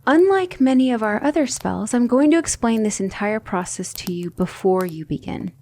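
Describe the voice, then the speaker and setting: A woman playing a patient, exacting demonic magic tutor in an ASMR roleplay, speaking in a measured, formal and gentle way. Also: a little high, animated. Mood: careful instruction.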